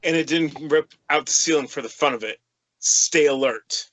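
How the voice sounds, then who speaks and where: A man's voice: on the high side, moderate pace, fairly bright tone, natural voice. A man playing a watchful, urgent soldier hero in a table read, punchy and commanding. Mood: alert. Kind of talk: amateur screenplay table read.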